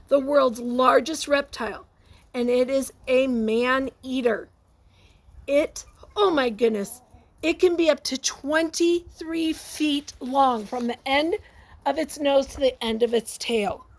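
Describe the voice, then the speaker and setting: Lady reading a picture book to little kids, high voice, takes it slow, lots of exclaiming and asking questions. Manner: exclamatory, expressive, asks listeners questions. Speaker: teacher reading a picture book aloud to children. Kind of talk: animated read-aloud to young children with asides.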